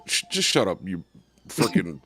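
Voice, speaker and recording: A man with a deep voice co-hosting a casual radio show, joking in a laid-back way. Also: big pitch swings, normal speed, fairly bright tone.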